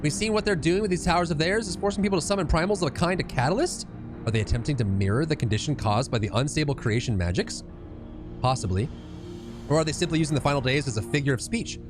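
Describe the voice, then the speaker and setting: Man voicing an earnest, animated fantasy scholar. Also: a little high, lightly acted. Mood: pondering. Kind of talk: reading fantasy game dialogue aloud.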